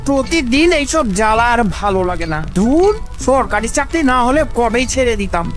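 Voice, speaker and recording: An actor playing a strict, grumpy village schoolteacher in an animated story, bossy and irritable. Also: mid-range voice, fairly quick, faint music behind, lightly acted. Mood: irritated.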